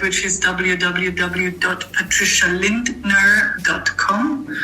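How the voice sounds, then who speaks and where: Bright-voiced woman in a roomy space. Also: on the low side. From Germany. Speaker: interview guest, author and coach. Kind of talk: reflective interview conversation. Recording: roomy echo, faint background noise.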